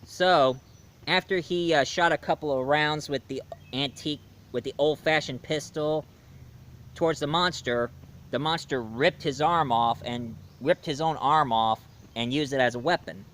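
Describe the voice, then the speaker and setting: Animated man retelling a movie plot. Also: on the high side, measured pace, a little hiss or hum. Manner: animated storyteller, acts out scenes. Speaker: film reviewer.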